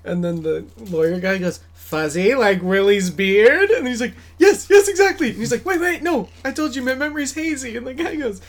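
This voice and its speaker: A man's voice: very high voice, normal speed, few pauses. A man chatting casually and playfully as the host of a podcast. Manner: playful, jokey. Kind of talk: casual chat.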